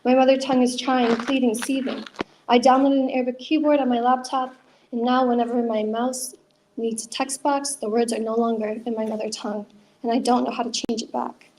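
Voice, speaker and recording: A young woman reads her own poetry aloud at an open mic night. Her voice sits a little high, and her delivery is earnest and measured. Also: little pitch movement, a little mellow, a little hiss or hum, poor audio. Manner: earnest, measured reading with chatty asides. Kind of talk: spoken word poetry reading.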